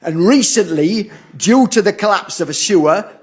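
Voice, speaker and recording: An actor playing an officious, condescending council official with a formal manner in an audio drama. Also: male voice, high voice, animated, moderate pace, a little mellow. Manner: formal, pompous, stammers when challenged. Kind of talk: stage-like audio drama acting.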